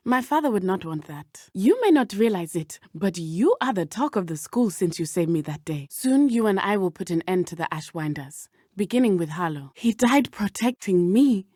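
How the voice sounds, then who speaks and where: An earnest, loyal teenage witch from a video game, with a southern African accent. Her voice sits at a medium pitch, with careful, formal phrasing and brave conviction. The sound is dry and close, with no room around it. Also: sing-song, a little mellow, dry recording. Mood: determined. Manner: measured, precise, formal diction. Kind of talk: video game character dialogue.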